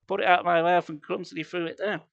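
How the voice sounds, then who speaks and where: A man's voice: sits high, steady tone, rapid-fire, vocal fry, fairly bright tone, muffled recording. A man reading a story aloud on a live stream, theatrical and playful, with casual asides.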